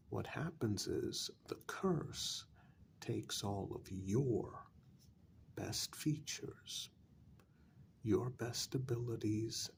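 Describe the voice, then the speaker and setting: Casting: male performer as a patient, apologetic confidant in a gothic vampire role-play, low voice full of heavy fry, hushed delivery. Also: a little hiss or hum, heavily acted. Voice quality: soft, breathy, gravelly. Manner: slow, measured, patient, with long pauses. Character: calm, apologetic male confidant explaining a vampire curse in an old castle. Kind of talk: soft-spoken ASMR role-play.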